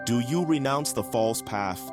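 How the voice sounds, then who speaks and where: A man's voice: medium pitch, lively intonation, a little slow, natural voice. A man playing an earnest, solemn officiant chanting a sacred rite in an audio drama, over music. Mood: ceremonial. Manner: formal, incantatory.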